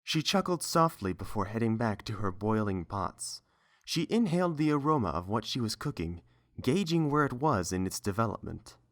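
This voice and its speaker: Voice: mid-range voice, sing-song, normal speed, a bit bright. A narrator reading scripted story prose.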